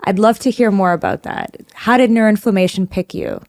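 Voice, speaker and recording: A woman's voice: mid-range voice, brisk, a little mellow. A woman hosting a podcast interview, framing thoughtful questions and recapping for listeners. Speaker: podcast host.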